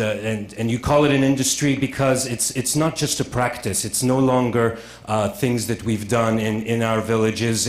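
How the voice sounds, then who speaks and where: A man giving a conference lecture, speaking haltingly and repeating words with many fillers, in a museum lecture room. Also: lowish voice, reverberant.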